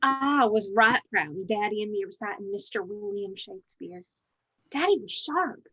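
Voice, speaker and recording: An actress playing a bookish, wistful mountain daughter in a stage monologue, storytelling lyrically with an Appalachian accent. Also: female voice, medium pitch, lively intonation, moderate pace, pushed and bright, slightly rough, low-quality recording. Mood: fond, wistful. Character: bookish, wistful mountain daughter reminiscing about her father.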